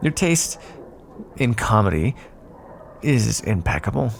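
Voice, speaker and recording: Man acting a sly, gravelly male vampire. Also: fairly low pitch, very animated, slow, slight background noise, exaggerated voice. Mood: wry, coaxing. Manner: sarcastic, teasing, drawling asides. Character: sly teasing male vampire bargaining with his hunter rival. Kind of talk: ASMR roleplay, intimate scripted monologue.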